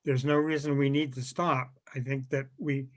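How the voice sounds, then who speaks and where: A man's voice: medium pitch, little pitch movement, moderate pace. A man casually hosting a meeting over a video call. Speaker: meeting host. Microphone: video call microphone.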